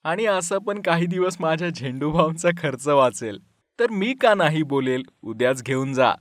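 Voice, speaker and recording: A man playing a grumbling family man in an animated moral story. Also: fairly high pitch, normal speed, no echo, clean studio sound, close to an everyday voice.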